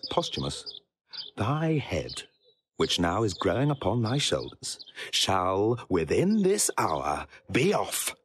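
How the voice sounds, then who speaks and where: Pompous, low-voiced young prince. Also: male voice, huge pitch swings, breathy edge, exaggerated voice. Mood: vindictive. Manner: pompous, halting, chuckling to himself. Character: vain, boastful, vengeful young prince in disguise. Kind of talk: theatrical Shakespearean soliloquy.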